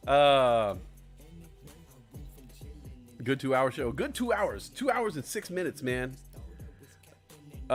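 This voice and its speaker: Young male stream host, lively voice with faint music underneath. Swears a lot and rambles, swinging from low mumbles to loud shouts. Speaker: livestream host. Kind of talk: casual live stream chat.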